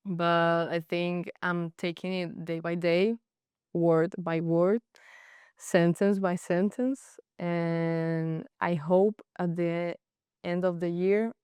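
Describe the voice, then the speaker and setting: A young woman from Colombia with a Colombian Spanish accent, a native Spanish speaker speaking halting English with frequent restarts in a casual interview. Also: on the low side, clean tone, no room sound, studio quality. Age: young adult. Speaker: guest, college goalkeeper.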